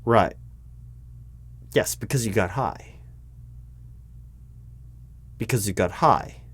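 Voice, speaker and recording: A man playing a job interviewer in an ASMR roleplay, patient but baffled, with a dry, halting delivery full of restarts. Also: lowish voice. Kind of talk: ASMR roleplay, spoken aloud, not whispered.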